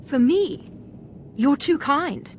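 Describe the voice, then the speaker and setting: A woman's voice: sits high, lively intonation, takes it slowly, a little hiss or hum. An actress playing a cool, wry female Sith apprentice in a video game, self-assured and dry. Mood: curious, pleased. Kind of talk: video game character acting.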